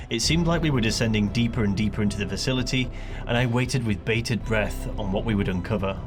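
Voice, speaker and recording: A man who creates gaming videos, speaking as narrator and player with casual gamer slang. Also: lowish voice. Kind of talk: scripted gameplay narration mixed with live in-game chatter. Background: music.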